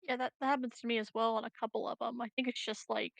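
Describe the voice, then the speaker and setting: A woman's voice: fairly high pitch, little pitch movement, fast talker, creaky, no echo. A woman talking casually as a player in an online tabletop roleplaying game.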